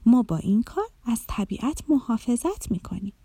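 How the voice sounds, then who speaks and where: A woman voicing a patient, caring mother who calmly explains things in a children's story. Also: on the high side, breathy edge, dry recording. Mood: gentle. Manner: calm, explaining gently.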